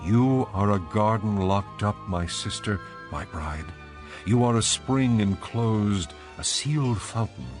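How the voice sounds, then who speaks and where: Deep-ish male voice, tender lover praising his bride, measured poetic bible drama, soft music under it. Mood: tender, enraptured. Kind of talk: dramatized scripture reading. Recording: music behind the voice.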